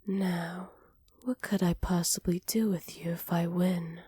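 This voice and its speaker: A woman playing a flirty, cruel evil witch in a soft ASMR roleplay, teasing and smug, close to the mic. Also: lowish voice, steady tone, breathy edge, dry recording. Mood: sly, businesslike. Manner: teasing, smug, unhurried, often dropping to near-whispers. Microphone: close microphone, dry.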